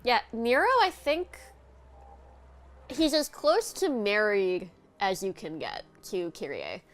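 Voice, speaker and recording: A woman's voice: mid-range voice, sing-song, takes it slowly, a bit bright. A woman with a lingering cold, chatting and teasing while guiding her husband through a video game. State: sniffly with a cold. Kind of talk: casual let's-play chat.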